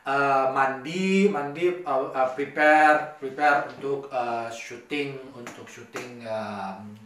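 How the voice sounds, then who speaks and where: A man with an Indonesian accent telling a personal story casually to camera, halting and repeating his words, mixing in some English. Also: mid-range voice, a bit bright. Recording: very echoey room, distant mic.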